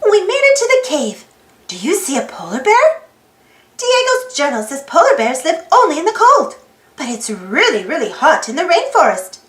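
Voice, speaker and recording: Very high child-like voice, swooping animated intonation, exclaiming read-aloud for kids, echoey room. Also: normal speed. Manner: exclaiming, prompts young listeners with questions. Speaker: storybook reader and channel host.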